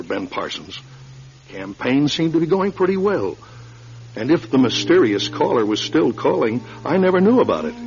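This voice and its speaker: An actor playing a troubled lawyer running for mayor in a classic radio drama, halting and agitated, repeating words for emphasis. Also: male voice, medium pitch, creaky. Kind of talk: classic radio drama acting, first-person narration and dialogue. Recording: dull vintage radio recording with music behind.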